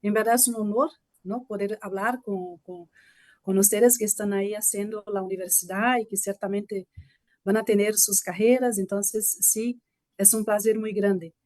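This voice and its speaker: A woman's voice: mid-range voice, steady tone, normal speed, a little mellow, dry recording. A woman whose first language is Portuguese, speaking with a Brazilian accent and giving a polite, hesitant guest lecture over a video call. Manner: hesitant, polite, restarts often. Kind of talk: online lecture presentation.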